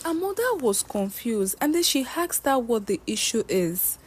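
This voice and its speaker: A woman's voice: on the high side, big pitch swings, normal speed, husky. A woman narrating a scripted folktale as a storyteller.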